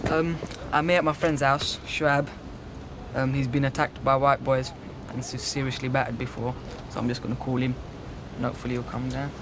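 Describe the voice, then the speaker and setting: A man's voice: mid-range voice, flat delivery, moderate pace, background noise. A young man with a London accent, narrating a documentary as its presenter.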